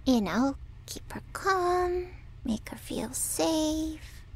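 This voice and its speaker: A sweet, submissive young woman caretaker played by a woman in an ASMR drama. Her high girlish voice moves slowly, coaxing and apologetic, with anxious devotion. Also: lively intonation, slight background noise, exaggerated voice. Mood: meek, apologetic. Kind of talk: ASMR roleplay acting.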